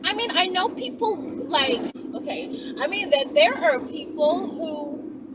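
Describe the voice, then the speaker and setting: A woman talking casually to her viewers in a playful, mocking way, full of drawn-out rhetorical questions. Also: extremely high pitch, animated. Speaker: online video creator. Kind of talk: casual teasing monologue to viewers. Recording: distant mic, loud background noise.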